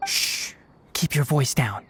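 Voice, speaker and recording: A woman's voice: very deep voice, expressive, takes it slowly, fairly bright tone, slight background noise, full character voice. A woman voicing a pragmatic young male forest ranger in a video game, matter-of-fact, firm and precise. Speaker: forest watcher and healer. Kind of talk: video game character acting.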